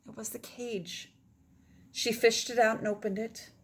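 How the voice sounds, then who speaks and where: A woman's voice: on the high side, fairly even intonation, measured pace. A woman teacher reading a children's storybook aloud in a dramatic manner, often dropping toward a whisper.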